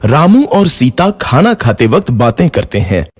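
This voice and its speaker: A man narrating a children's cartoon story in a lively, expressive way. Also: medium pitch, moderate pace, soft background music.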